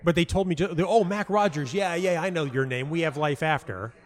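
A man speaking as an interview guest, telling personal anecdotes casually in a halting way, interrupting himself and repeating words for emphasis. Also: on the high side. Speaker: guest, playwright and audio dramatist.

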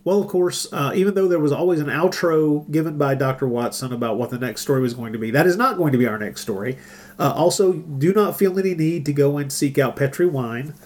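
A man's voice: on the high side, a little fast, slight background noise. A man hosting a casual podcast, talking in a wry, rambling and hesitant way. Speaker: podcast host.

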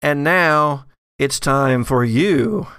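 A man voicing a dark entity that gives an eerie, welcoming greeting as a game master's character. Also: fairly high pitch, drawn-out words, clean tone, no echo, clean studio sound, full character voice. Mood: eerie welcome. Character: dark entity calling itself William, eerily welcoming.

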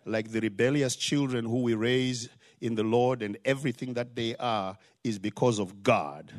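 A man's voice: medium pitch, normal speed. An older man from Zimbabwe with a Zimbabwean accent, preaching a sermon in an emphatic style that builds to rising exclamations. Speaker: pastor.

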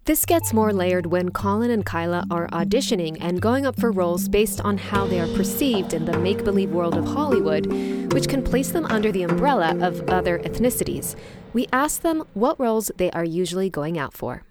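Host voice-over, a little low, even and explanatory, over loud guitar music. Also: female voice, moderate pace. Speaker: host narrator. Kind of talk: scripted narration.

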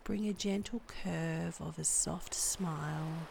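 Woman, lowish voice, very slow, soft and breathy, soothing meditation guide, long pauses, ambient noise behind. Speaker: meditation guide and podcast host. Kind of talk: guided body scan meditation, scripted narration.

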